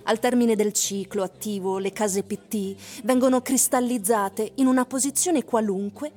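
A woman with a dark, mellow voice of medium pitch reads literary narration for a radio drama. She is measured and expository, with music faintly underneath. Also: fairly even intonation. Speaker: actress narrator.

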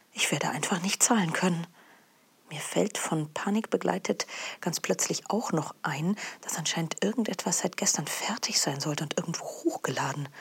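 A woman plays an anxious freelance culture worker telling a dream. Her voice is low, very husky and breathy, half whispered, as in an intimate scripted monologue.

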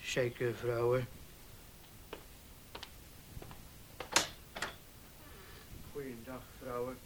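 An actor playing a humble, easily cowed older horse handler in a Dutch radio play, hesitant and deferential. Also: male voice, mid-range voice, completely flat delivery, measured pace, noisy background, natural voice.

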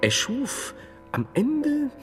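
A man playing a dazed, eccentric medieval theologian in a radio drama, halting and stammering, with grave whimsy. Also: very high voice, huge pitch swings, soft background music, exaggerated voice. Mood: halting, reflective. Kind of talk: German radio drama acting.

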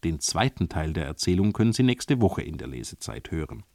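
A man presenting a scripted literary introduction on the radio in a measured, formal way. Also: low-pitched, big pitch swings, gravelly, warm tone, no echo, studio quality. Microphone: close studio microphone.

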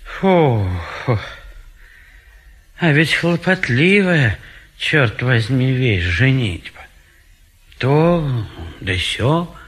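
An actor playing a fussy, indecisive gentleman bachelor in a classic comedy audio play, hesitant and given to repeating himself. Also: medium pitch, slightly rough, heavily acted.

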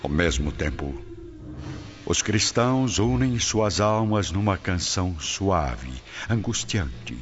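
Deep, grave Brazilian male narrator. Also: animated. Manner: grave, measured storytelling. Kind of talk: dramatic scripted narration of an audio drama. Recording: dull lo-fi audio, loud music behind.

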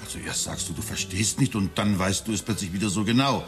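A man's voice: lowish voice, fast talker, fairly bright tone, faint music behind, natural voice. An actor playing an anxious, evasive middle-aged husband and father in a German radio drama, hesitant and confessional. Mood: defensive.

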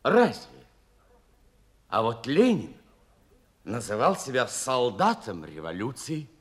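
Radio play casting: loyal male navy comrade, teasing and emphatic, mid-pitched, highly expressive. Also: takes it slowly. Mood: earnest, firm. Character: teasing, staunchly loyal young naval officer, steadfast comrade. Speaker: radio drama actor. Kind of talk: Russian radio play acting.

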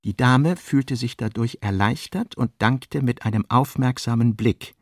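A man's voice: mid-range voice, measured pace, no echo, studio quality. A man narrating literary prose for a radio play in a scripted reading style.

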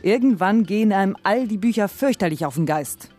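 A woman's voice: medium pitch, lively intonation, a little fast, warm tone, faint music behind, close to an everyday voice. An actress playing a cynical, world-weary murderess in a noir radio play, cool and sardonic in her delivery. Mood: weary, bitter.

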